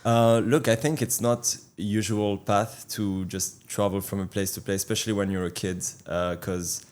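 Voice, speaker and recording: A man's voice: fairly low pitch, steady tone, normal speed, a little mellow. A young man with a French accent, a guest on an interview show, talking earnestly with many fillers and repeated words. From France. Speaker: guest, athlete and coach. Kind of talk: casual interview talk.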